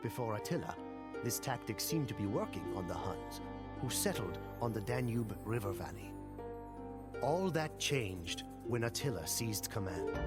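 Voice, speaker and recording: A man's voice: mid-range voice, lightly acted. A man performing as a grave chronicler, telling a scripted history of conquest for a game. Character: grave male chronicler recounting barbarian conquests. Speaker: narrator of a historical campaign. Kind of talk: scripted dramatic storytelling narration. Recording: music behind the voice. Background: music.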